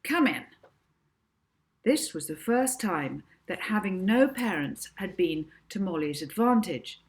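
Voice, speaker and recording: A woman's voice: lowish voice, lively intonation, unhurried, darkish tone. A woman narrating a children's audiobook as the author, reading from a script. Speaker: author reading own book.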